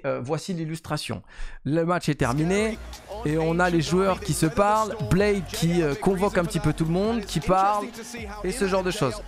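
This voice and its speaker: Chatty French-speaking man, higher voice. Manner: chatty, emphatic, many fillers and restarts. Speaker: esports news host. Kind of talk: casual esports news commentary. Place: home streaming room. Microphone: studio mic on boom arm.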